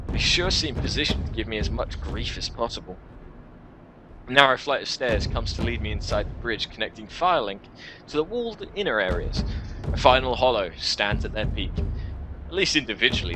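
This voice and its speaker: A man's voice: mid-range voice, noisy background. A man reading a scripted first-person action story as a dramatic narration for a video game.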